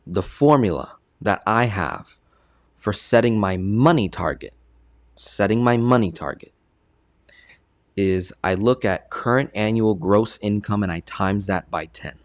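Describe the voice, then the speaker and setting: Deep-voiced man coaching on money. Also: measured pace, no room sound, clean studio sound. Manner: conversational, keeps asking "right?". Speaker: personal finance coach. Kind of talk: casual live online presentation.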